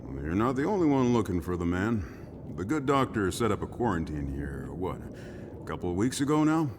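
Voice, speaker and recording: Deep-voiced, lilting, genial barkeeper. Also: male voice, very animated, brisk, noisy background. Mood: troubled, musing. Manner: folksy, easygoing, wry. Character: genial, world-weary small-town barkeeper. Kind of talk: cinematic video game drama acting.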